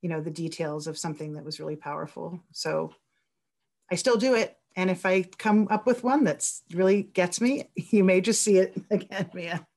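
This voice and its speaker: A woman's voice: lowish voice, normal speed, darkish tone. A woman speaking casually and effusively as a writer answering questions on a panel. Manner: enthusiastic, effusive. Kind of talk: casual Q&A talk.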